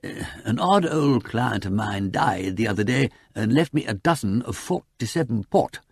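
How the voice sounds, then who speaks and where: A man's voice: medium pitch, animated, moderate pace, dry recording. Man playing a fussy elderly English solicitor. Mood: musing, wistful. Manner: hesitant, precise, throat-clearing, formal. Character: courteous, fussy, old-fashioned elderly male solicitor.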